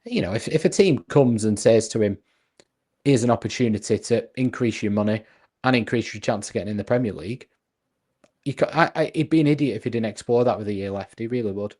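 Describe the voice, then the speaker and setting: A man co-hosting a casual football podcast, reasoning his points out loud with frequent restarts. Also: on the low side, fast talker. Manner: earnest, reasoning aloud with restarts.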